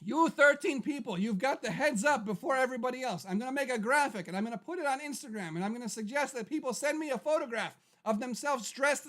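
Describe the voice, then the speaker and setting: Hoarse high-voiced man hosting a live show. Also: a bit bright. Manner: brash, bossy, jokey, talks over his cohost. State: losing his voice. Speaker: host of a live web show. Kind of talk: loud comedic live-stream chat.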